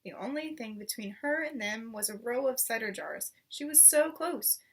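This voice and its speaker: High-voiced woman reading a children's story. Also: moderate pace, breathy edge, distant mic. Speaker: storybook reader. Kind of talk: expressive children's read-aloud narration.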